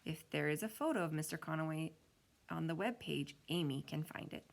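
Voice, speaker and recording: Bold schoolgirl in a children's audiobook, voiced by a woman: confident, urging, low-pitched. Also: a little fast, gravelly. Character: bold, smart schoolgirl backing her friend.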